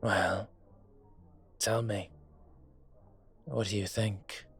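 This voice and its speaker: A man acting a suave, older, gentlemanly dragon mafia boss in a romantic roleplay audio drama, courtly and teasing, with a husky voice. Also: lowish voice, level tone, exaggerated voice. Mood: smooth, inviting. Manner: unhurried, courtly, teasing, intimate. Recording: music behind the voice.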